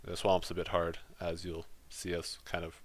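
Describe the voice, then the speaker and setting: A man hosting a gaming channel, talking through his let's play footage in a casual, rambling monotone. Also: low voice, normal speed. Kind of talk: let's play commentary voice-over.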